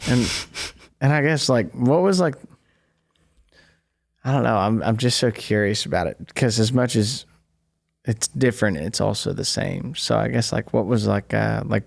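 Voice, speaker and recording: Man, 20s, musician host, deep voice, gravelly, hesitant, laughs often. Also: moderate pace. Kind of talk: casual conversation.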